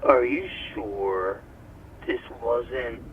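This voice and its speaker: A man voicing a shaken paramedic, slow, subdued, distant muffled radio sound, faint hiss. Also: mid-range voice, steady tone. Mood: grim. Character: shaken male paramedic, grim and low-key.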